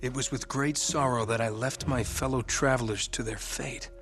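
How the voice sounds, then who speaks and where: A man's voice: mid-range voice, steady tone, normal speed, fairly bright tone, natural voice. An actor playing a wisecracking, eager treasure hunter in his thirties, with an American accent and a casual, wry delivery in a game cutscene. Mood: curious, absorbed. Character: wisecracking, eager male treasure-hunting adventurer. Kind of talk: video game cutscene acting. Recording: game music behind the voice.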